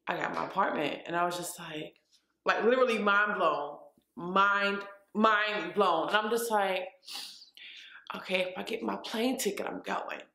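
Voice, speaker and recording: A woman's voice: medium pitch, unhurried, sharp tone. Chatty woman in her twenties, echoey room. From New York. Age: 20s. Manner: chatty, rambling with restarts and fillers. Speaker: vlogger. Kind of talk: casual life-update vlog. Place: her apartment.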